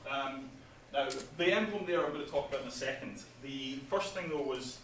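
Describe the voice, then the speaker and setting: A man's voice: mid-range voice, normal speed, fairly bright tone. Man presenting in an echoey hall. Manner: chatty, laughs at his own jokes, many ums. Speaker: game developer presenting at an industry event. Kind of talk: casual conference presentation. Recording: echoey hall, speaker far from mic, faint noise.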